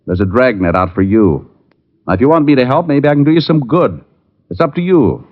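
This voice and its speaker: An actor playing a tough, terse private detective in 1940s radio style, with a firm, clipped delivery. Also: male voice, mid-range voice, sing-song, fairly quick, close to an everyday voice. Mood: firm, pressing. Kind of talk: 1940s radio detective acting and first-person narration. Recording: muffled old radio recording.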